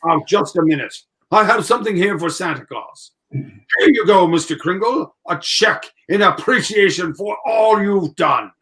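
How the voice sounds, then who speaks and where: A man's voice: high-pitched, expressive, moderate pace, sharp tone. A man acting a blustery, shrewd middle-aged department store boss in a 1940s-style radio play. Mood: expansive.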